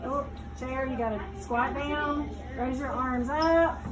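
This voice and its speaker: A woman's voice: high-pitched, steady tone, takes it slowly, crowd noise. A woman yoga teacher leading a children's class, giving short step-by-step cues and checking in with questions. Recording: distant mic, clearly noisy room.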